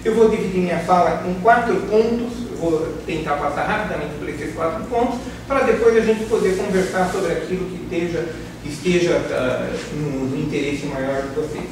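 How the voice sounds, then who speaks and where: A middle-aged man from Brazil lectures to a class in a measured, searching way, often restarting a phrase and checking in with the room. He sits on the higher side of a man's range. The far-off camera catches strong room echo and a steady hiss. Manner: measured, thoughtful, hesitant restarts, frequent "né?" tag. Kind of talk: academic lecture to course participants. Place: large bare classroom. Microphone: far camera, no mic. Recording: echoey room from far off, noisy.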